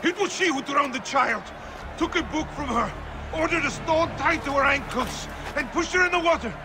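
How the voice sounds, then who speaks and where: Game role: cornered brute, pleading, insistent, strained high voice in noisy reverb. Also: male voice, normal speed. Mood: desperate. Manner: desperate, insistent. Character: cornered, defeated male arena fighter pleading innocence. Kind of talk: dramatic video game acting.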